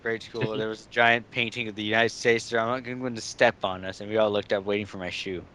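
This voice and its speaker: A man's voice: on the low side, level tone, brisk, pushed and bright. A man chatting casually as a co-host on a podcast. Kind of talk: casual chat.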